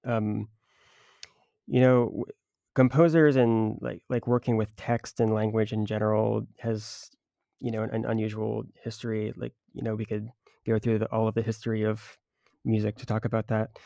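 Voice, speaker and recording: A man hosts a music interview, feeling his way through long questions with restarts and fillers. His voice is low, dark and gravelly with a flat delivery, and it is recorded close and dry. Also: moderate pace, dry recording, highs cut off. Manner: rambling, self-correcting questions. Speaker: podcast host, interviewer.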